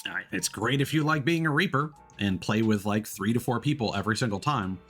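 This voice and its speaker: A man chatting casually while live streaming a game, explaining things in a rambling, halting way. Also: fairly low pitch, lively intonation, a bit bright, breathy edge, faint music behind. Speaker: live streamer playing games. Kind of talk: casual chat while gaming.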